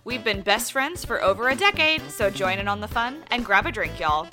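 A woman's voice: medium pitch, expressive, normal speed, music in the background. A woman co-hosting a podcast promo who chats casually with a friend and jokes around. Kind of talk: casual chat among friends.

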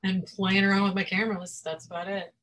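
A woman talking casually in an interview about her writing and publishing, chatty, self-deprecating and quick to laugh. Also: mid-range voice, flat delivery, fairly bright tone. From Florida. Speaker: guest author and publisher.

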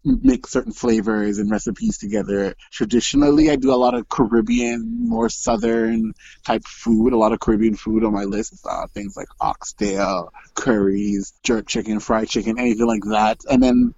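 A man in his twenties from Montreal, talking as a podcast guest in a relaxed, enthusiastic, rambling way over a remote line. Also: fairly low pitch, normal speed, vocal fry, highs cut off, slight background noise. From Montreal, Quebec. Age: 20s. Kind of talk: casual chat.

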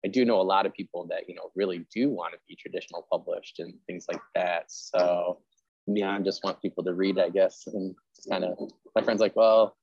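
A man's voice: deep voice, steady tone, fairly quick, a little mellow, no room sound, dull audio. A man hosting a podcast interview in an informal, rambling, self-deprecating chat style. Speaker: podcast host interviewing an author.

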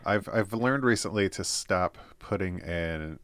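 A man with a deep, low voice hosts a casual interview and asks loose, rambling questions. He laughs often and keeps a relaxed tone. The sound is dry and close. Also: unhurried, smooth, no room sound. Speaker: podcast host.

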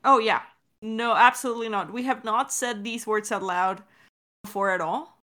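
A young adult woman co-hosting a creator Q&A over an online call, chatting in an informal, joking, animated way. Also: on the high side, moderate pace. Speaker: podcast creator, co-host of a Q&A. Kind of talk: casual chat. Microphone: recorded over Discord call.

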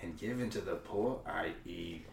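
A man playing a bumbling, excitable male panhandler who rambles and yells in improv comedy. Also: low-pitched, moderate pace, creaky, recorded from a distance. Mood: rambling. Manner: rambling, excitable, prone to yelling. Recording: echoey room, off-mic, faint noise.

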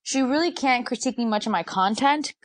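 A woman sports anchor, a guest in a casual interview, who talks fast and animatedly and laughs often. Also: on the high side, lively intonation, no echo.

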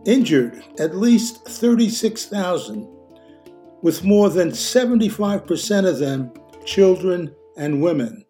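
Older American male podcast host, soft mellow tone, scripted intro over guitar music. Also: sits high, big pitch swings, slow pace.

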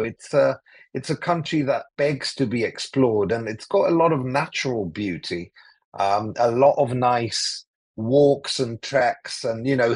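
A man in his forties from Greece, talking as a podcast guest in a relaxed, rambling way with many fillers and restarts over a remote call. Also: medium pitch, breathy edge, no echo. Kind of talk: casual interview talk. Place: cozy room.

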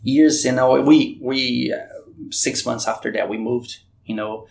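A man's voice: medium pitch, fairly even intonation, a little slow, creaky, a little mellow. A man from Brazil with a Brazilian Portuguese accent, telling his missionary testimony in an earnest way and acting out remembered conversations with many restarts. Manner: earnest, retells dialogue with frequent restarts. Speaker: missionary guest. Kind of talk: storytelling testimony.

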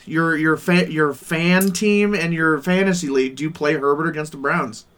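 A man's voice: fairly high pitch, moderate pace, a bit bright, slight background noise. A man hosting a casual sports podcast with friends, loose and swearing, laughing often. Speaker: podcast host.

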